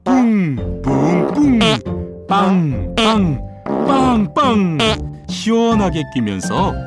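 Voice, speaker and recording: Animated storyteller over clear music. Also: mid-range voice, drawn-out words. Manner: expressive, plays up sound words. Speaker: storyteller narrator. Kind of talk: animated children's folktale narration.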